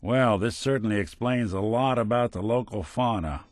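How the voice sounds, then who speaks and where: A man's voice: fairly low pitch, moderate pace, no room sound, studio quality, natural voice. An actor playing a world-weary synth detective in a video game, speaking in a wry, sardonic, old-time tough-guy manner. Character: world-weary wisecracking male synth robot detective, middle-aged. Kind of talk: scripted game companion dialogue, noir detective.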